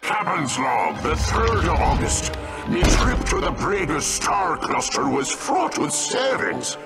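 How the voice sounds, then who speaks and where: A man's voice: sits high, very animated, takes it slowly, gravelly, loud music behind the voice, full character voice. A man playing a menacing, miserly pirate captain in a video game, narrating his log in a grandiose, theatrical pirate drawl. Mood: boastful.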